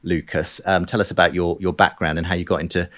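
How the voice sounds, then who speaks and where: Man, British accent, low pitch, show host, conversational presenting, indoor room. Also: flat delivery, fast, a bit bright. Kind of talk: conversational hosting of a discussion show. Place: room in a college with an open window.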